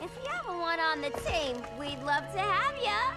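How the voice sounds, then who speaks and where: A woman's voice: very high voice, big pitch swings, normal speed, exaggerated voice. An actress playing a brash, cheeky young woman, sassy and defiant. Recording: voice over loud music.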